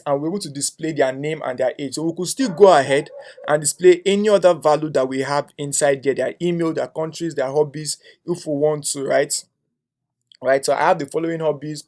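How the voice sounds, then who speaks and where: Young male coding tutor, slightly high voice, thinks aloud and checks in with "right?". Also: moderate pace, a little mellow. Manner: thinks aloud while typing, frequent "um" and tag "right?". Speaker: coding instructor. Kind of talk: screen-share coding tutorial.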